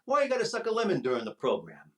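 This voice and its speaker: A man's voice: mid-range voice, huge pitch swings, rapid-fire. A man playing a brash, blunt, heckling sidekick in a 1930s radio comedy. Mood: cheeky, heckling. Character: brash, dim, teasing male vaudeville sidekick, Chico.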